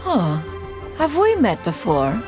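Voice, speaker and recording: Casting: haughty immortal game sage, female, mid-range pitch, lively intonation, grand formal diction, light music behind. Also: slow. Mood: intrigued. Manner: formal, archaic, pompous, calls herself "one". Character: proud, ageless immortal female bird sage, haughty, refined. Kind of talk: video game voice acting.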